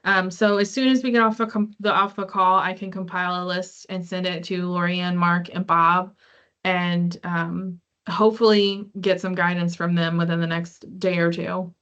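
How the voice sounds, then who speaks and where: A woman's voice: lowish voice, fairly even intonation, moderate pace, a bit bright, clear, resonant tone. A woman hosting a conference call, answering questions from participants. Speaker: meeting host. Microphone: online conference call. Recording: muffled conference call audio.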